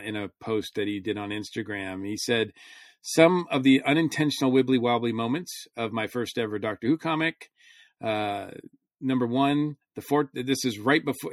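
A man's voice: mid-range voice, no echo. Casual man chatting through a review, dry sound. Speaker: podcast panelist reviewing a comic book.

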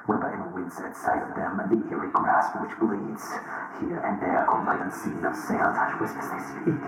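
Breathy, echoing male spirit chanting. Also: on the low side, big pitch swings, normal speed. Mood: ominous chanting. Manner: incantatory, repetitive. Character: eerie spirit chanting a séance rhyme. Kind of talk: supernatural audio drama acting.

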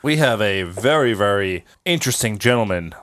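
A man's voice: on the low side, lively intonation, moderate pace, a bit bright. A man hosting a podcast in casual, joking banter, with a congested, nasal voice. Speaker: podcast host.

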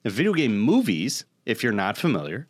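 A man's voice: lowish voice, huge pitch swings, a little fast, husky, no echo. A man co-hosting a casual podcast, making matter-of-fact, blunt remarks in a conversational way. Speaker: podcast co-host. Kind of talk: casual podcast chat about video games.

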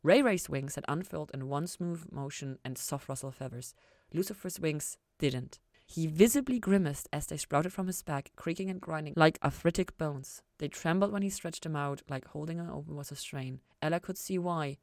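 Low-voiced woman narrating a story. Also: moderate pace, a little mellow, dry recording, studio quality. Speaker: fan fiction reader, podcast host.

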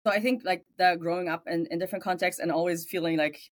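Hesitant German-accented woman, low voice. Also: fairly even intonation, fast, no room sound. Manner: hesitant, many ums, searching for words. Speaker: guest theologian. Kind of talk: thoughtful interview answers.

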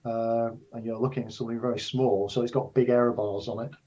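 Older man, husky tone, muffled recording, expert interviewee, measured unscripted explanations. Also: mid-range voice, flat delivery, warm tone. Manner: measured, careful explaining with hedged, restarted phrases. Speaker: interview guest, astrophysics professor.

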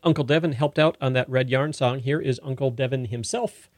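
A man hosting a music radio show in casual chat, halting and correcting himself as he goes, with a creaky voice. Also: mid-range voice, dry recording, studio quality.

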